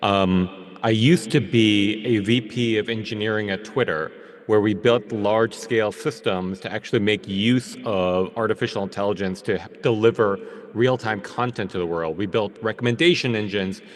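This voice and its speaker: Low-voiced man giving a remote talk. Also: normal speed. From New York. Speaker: panel speaker.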